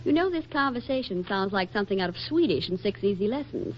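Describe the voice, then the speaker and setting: An American actress playing a harried, sharp-tongued young housewife and mother in a 1940s radio comedy, wry and exasperated. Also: medium pitch, animated, fast talker, slight background noise, close to an everyday voice. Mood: brittle politeness. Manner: wry, dramatically exasperated, brittle politeness. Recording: dull, band-limited 1940s radio recording.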